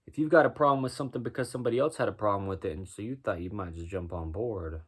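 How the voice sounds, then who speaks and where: A man with a deep voice doing a rhyming spoken-word freestyle, musing and preaching as he goes. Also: fast, husky. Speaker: freestyle musician.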